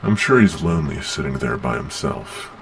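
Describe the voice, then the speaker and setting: A man's voice: extremely low pitch, animated, moderate pace, creaky, a bit bright, slight background noise, heavily acted. A man playing a weary, matter-of-fact monster agent with a businesslike manner in an ASMR roleplay. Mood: businesslike, conceding.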